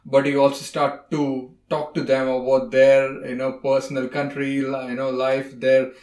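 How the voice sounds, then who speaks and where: A man's voice: mid-range voice, completely flat delivery, moderate pace, a little mellow. A man from India, a podcast guest, talking in a casual conversation, earnest and rambling with many fillers. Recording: echoey room.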